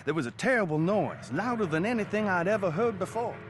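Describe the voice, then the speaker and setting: A man's voice: high-pitched, expressive, fairly quick, slight background noise. An actor playing a folksy man narrating memories of his rural boyhood in an old-time radio drama. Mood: reminiscent. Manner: plain-spoken, reminiscing storyteller.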